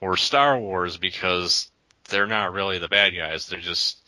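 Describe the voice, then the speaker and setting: A man's voice: sits low, unhurried, pushed and bright, dry recording, low-quality recording. A man in casual podcast chat, hesitant, often repeating words as he builds a point. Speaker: podcast co-host.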